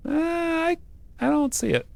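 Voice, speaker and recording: A man's voice: very high voice, a little slow, gravelly, a little mellow, dry recording. A man doing a casual let's-play, reading game narration aloud and joking about the text as he goes. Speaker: game streamer reading a visual novel aloud.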